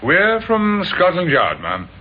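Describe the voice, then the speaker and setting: Courteous police inspector, animated old radio voice. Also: male voice, high voice, takes it slowly, heavily acted. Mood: courteous, probing. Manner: courteous, hesitant, probing questioner. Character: polite, methodical male police inspector from Scotland Yard. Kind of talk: old-time radio drama acting. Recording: dull, noisy vintage radio recording.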